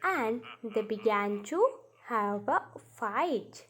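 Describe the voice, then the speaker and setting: A woman narrating a children's story from a script, in deliberate, halting phrases with pauses. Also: on the high side, animated, a bit bright, slightly rough. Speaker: storyteller narrating a puppet show.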